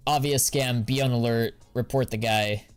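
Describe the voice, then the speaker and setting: A man's voice: medium pitch, steady tone, moderate pace, clear, resonant tone. Young adult American man, easygoing streamer, casual slang, medium voice. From United States. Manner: slangy and laid-back, drawls filler words. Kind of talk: casual live-stream chat, answering viewers.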